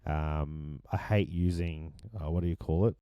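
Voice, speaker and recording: A man with a deep voice that is going hoarse, casually walking through his vocal mix with many ums and restarts. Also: steady tone, unhurried, dry recording, dull audio. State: losing his voice. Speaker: music producer explaining his mix. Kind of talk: casual screen-share tutorial.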